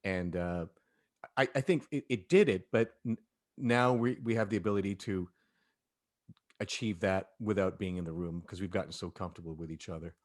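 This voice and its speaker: A man's voice: deep voice, normal speed. A man chatting casually and expressively as he leads a group discussion among performers. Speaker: audio drama cast member leading a cast discussion.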